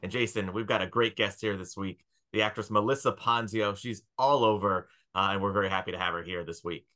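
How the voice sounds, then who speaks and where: A man's voice: fairly low pitch, steady tone, fairly quick, bright, forceful tone. A man in his thirties hosting a casual podcast interview over a video call on a headset. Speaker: podcast host. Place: indoor room, video call.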